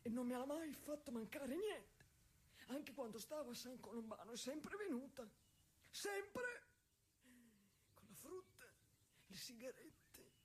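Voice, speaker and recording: A woman playing a gentle, wistful husband confined in an asylum, in an intimate stage monologue with halting, simple, repetitive, emotive phrasing. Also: high voice, expressive, a little hiss or hum. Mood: insistent, grateful.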